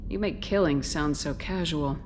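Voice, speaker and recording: A woman's voice: deep voice, normal speed, noisy background, lightly acted. An actress playing a composed, direct female commander in a sci-fi video game, speaking plainly and probingly. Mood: curious, composed. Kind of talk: dramatic game dialogue.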